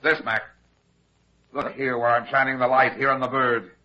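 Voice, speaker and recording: A man playing a heavyset, shrewd private detective in an old-time radio drama, brisk and commanding as he explains things. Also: medium pitch, level tone, close to an everyday voice. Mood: cool, satisfied. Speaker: lead actor. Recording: dull, lo-fi old radio recording.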